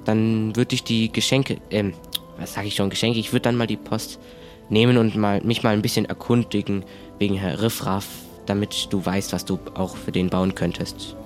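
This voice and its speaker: A man in an improvised adventure roleplay, talking conversationally and haltingly as he searches for words. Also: lowish voice, fairly even intonation. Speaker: player in an improvised pirate adventure audio play. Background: faint music.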